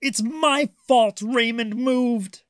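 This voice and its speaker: A man's voice: very high voice, slow, no room sound, clean studio sound, pitched above the performer's own voice. A man voicing a young boy shark from a storybook, plaintive, childlike and pouty. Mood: self-blaming.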